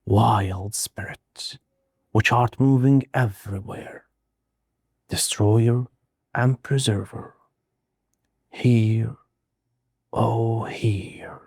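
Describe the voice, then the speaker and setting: Male poetry reader, medium pitch, solemn and measured delivery with deliberate pauses, warm relaxed tone, dry recording. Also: husky, dry recording. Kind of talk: slow dramatic poetry recitation.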